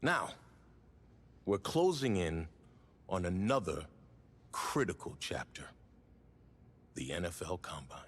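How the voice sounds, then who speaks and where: A man playing an earnest, respectful sports interviewer in a video game, measured and deliberate, with a gravelly, husky voice. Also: low voice, very animated, heavily acted.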